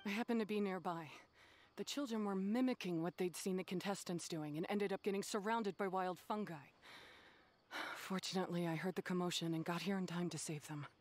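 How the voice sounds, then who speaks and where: An actress playing a stern, resolute young woman in a video game, speaking in a measured, formal, disdainful way. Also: on the low side, natural voice. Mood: measured, grave.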